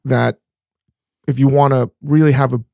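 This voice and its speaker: A man hosting a casual podcast talk, his voice gravelly with a fry at the ends of phrases. He talks frankly and with animation, cursing freely. The sound is dry and close, with no room echo. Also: medium pitch, steady tone, normal speed, warm tone. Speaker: podcast host and chef.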